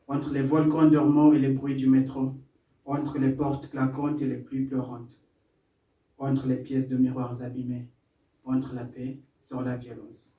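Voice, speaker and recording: A young man performs spoken-word verse in French, learned on top of his English, rising into rhythmic, building lines between self-deprecating asides. His voice sits at an ordinary middle pitch. The stage mic catches him from a distance, and a roomy live echo hangs around every phrase. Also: a little mellow. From Kenya. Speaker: performance poet. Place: small stage in a cellar venue.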